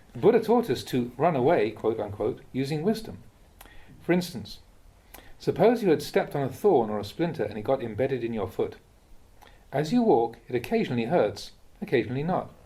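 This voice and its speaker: Male monk, dharma reading, mellow tone, lively intonation, measured and deliberate delivery. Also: medium pitch. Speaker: Buddhist monk reading teachings aloud. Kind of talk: read-aloud dharma talk.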